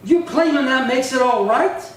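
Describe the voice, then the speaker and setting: Man acting a high-pitched, menacing western gunslinger. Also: lively intonation, normal speed. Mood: bitter, menacing. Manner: taunting, threatening. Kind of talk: old-time western radio acting. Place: live theatre stage performance. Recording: roomy, distant stage pickup with faint noise.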